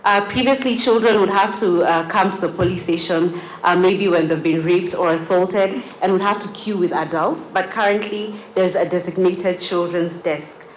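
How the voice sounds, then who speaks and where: A woman's voice: on the low side, normal speed, roomy sound, slight background noise, rough recording. An eighteen-year-old woman from Kenya whose first language is Kiswahili, giving an earnest prepared speech at a gala dinner, with frequent uh fillers. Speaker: student speaker. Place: large banquet hall at a gala dinner.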